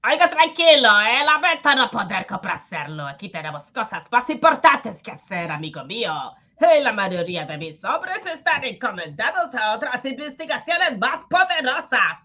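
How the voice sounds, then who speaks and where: Woman playing blustering, theatrical police colonel, bright voice. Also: fairly high pitch, very animated, moderate pace, hardly any pauses, dull audio, full character voice. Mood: exasperated. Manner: exclamatory, scolding, theatrical. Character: gruff, blustering, impatient male police colonel in Calcutta. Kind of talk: amateur live comic-book radio drama reading.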